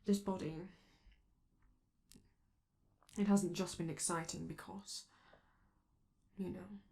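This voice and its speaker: A performer, a woman, playing a vain, affectionate and theatrical robot star in an ASMR roleplay, with halting, doting asides and a creaky voice. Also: on the low side, steady tone, dark tone. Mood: tender, reflective.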